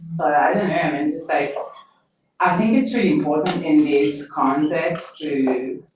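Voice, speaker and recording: A woman's voice: sits low, a little slow, a little mellow. A young woman thinking aloud in a group discussion, using "like" a lot. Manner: thoughtful, filler-heavy with "like". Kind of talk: discussion in a seminar. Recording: echoey room from far off with faint noise.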